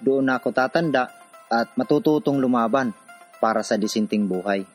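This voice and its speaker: A man's voice: medium pitch, normal speed, faint music behind, lightly acted. An actor playing a poor man from a city slum, narrating his own hard life in a scripted radio drama. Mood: somber, reflective.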